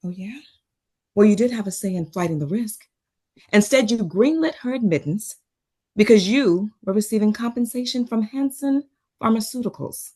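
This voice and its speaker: A woman playing a composed but strained doctor, quietly confrontational, in a table read. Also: medium pitch, expressive, normal speed, husky.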